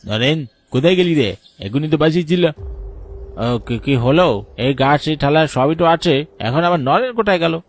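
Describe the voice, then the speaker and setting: A man playing an uneasy, superstitious villager in an animated horror story, speaking colloquially. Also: a little high, unhurried. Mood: shocked, fearful. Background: music bed.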